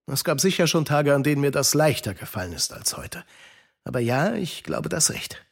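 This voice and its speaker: Kindly devout uncle, children's radio play, earnest, expressive, husky-edged. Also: male voice, on the high side, moderate pace, heavily acted. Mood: earnest, steadfast. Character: kindly, devout uncle, shaken by a property lawsuit.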